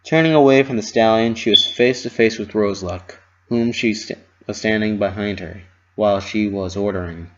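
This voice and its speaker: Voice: mid-range voice, moderate pace, fairly bright tone, highs cut off. A narrator giving a dramatic reading of a story, with scripted storybook delivery.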